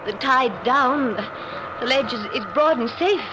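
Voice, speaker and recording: Radio mystery lead: anxious, suspicious new bride, highish voice, halting delivery, very noisy vintage recording. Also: female voice, dull audio. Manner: hesitant, faltering, repeating words. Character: anxious, suspicious new wife narrating her dark marriage. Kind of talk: 1940s radio acting.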